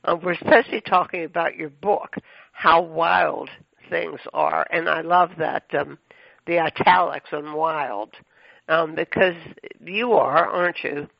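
Male radio host, gravelly voice, rambling effusive chat, lo-fi dull sound. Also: on the high side, fairly even intonation, a little slow, no room sound. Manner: rambling, effusive, many fillers and restarts. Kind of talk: chatty radio interview.

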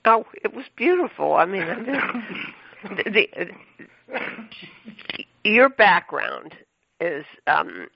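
A man's voice: high voice, sing-song, slow, vocal fry, pauses often, a little hiss or hum. A man hosting a radio interview, talking in a rambling, effusive way with many fillers and restarts. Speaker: radio show host. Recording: dull lo-fi radio sound, dry.

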